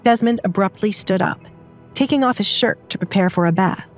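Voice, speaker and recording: A woman's voice: medium pitch, moderate pace. Woman narrating fiction over faint music. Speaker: story narrator. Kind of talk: scripted dramatized narration.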